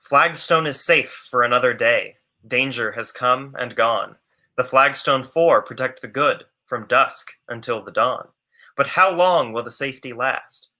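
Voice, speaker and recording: Young male narrator for scripted adventure serial, intense announcer delivery, sharp bright voice, medium pitch. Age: young adult. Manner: theatrical announcer. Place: small room indoors. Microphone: earbuds on a video call.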